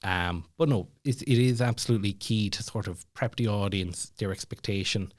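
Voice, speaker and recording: A man's voice: lowish voice, moderate pace, dry recording, studio quality. An Irish man chatting casually as a podcast guest, telling stories in a rambling, self-deprecating way. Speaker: podcast guest, former comedy club MC. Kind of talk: casual storytelling chat.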